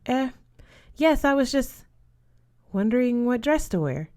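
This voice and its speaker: A woman's voice: a little high, very animated, measured pace, darkish tone, voice pushed up. Woman reading as a naive, questioning teen girl. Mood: shy, amused. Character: naive, modest Mexican American teenage scholarship girl.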